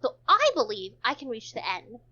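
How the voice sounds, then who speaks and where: A woman with a high, very animated voice reads a visual novel aloud. She moves between narration and casual joking asides, tripping on the odd word. The sound is slightly dull and lo-fi. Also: moderate pace.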